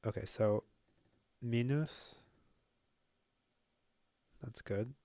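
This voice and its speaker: A young man thinking aloud as he works through a language on his own, musing and joking at his own expense, halting often with fillers. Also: medium pitch, dark tone, no echo. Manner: musing, self-deprecating, halting with many fillers. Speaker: language-learning video host. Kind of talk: thinking aloud while self-studying.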